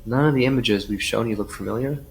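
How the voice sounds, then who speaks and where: A man acting as a calm, formal police detective who persistently questions a witness in a crime audio drama. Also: mid-range voice, fast talker, gravelly, husky, rough recording. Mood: pointed, insistent.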